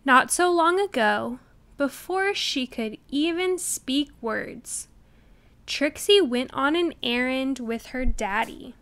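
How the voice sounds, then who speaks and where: Very slow, high-voiced woman reading aloud. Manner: deliberate, expressive enunciation. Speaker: storybook reader. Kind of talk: children's read-aloud narration.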